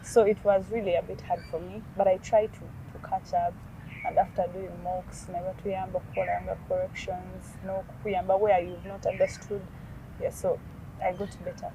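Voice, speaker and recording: A young Ugandan woman being interviewed about her school years, speaking softly and thoughtfully while mixing Luganda and English. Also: medium pitch, little pitch movement, normal speed, vocal fry, recorded from a distance, slight background noise, low-quality recording. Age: young adult. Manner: soft-spoken, thoughtful, uses "like" and "you know". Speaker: guest, beauty queen.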